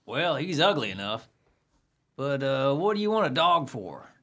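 An actor playing a blunt, questioning accomplice to a burglar in a radio play. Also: male voice, medium pitch, sing-song, normal speed, a bit bright. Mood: sceptical.

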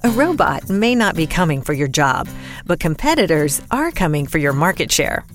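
A woman with a slightly low voice delivers scripted corporate ad copy as an announcer. Quiet music sits behind her. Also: sing-song, normal speed. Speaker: advertisement announcer.

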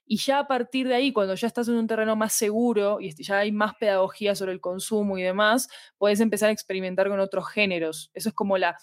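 A woman's voice: mid-range voice, fairly even intonation, fast talker, few pauses, no room sound, studio quality. A woman with a Latin American Spanish accent talking conversationally as an interview guest, explaining her thoughts and often checking for agreement. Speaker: podcast guest, fiction podcast producer. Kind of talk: conversational interview about audio fiction.

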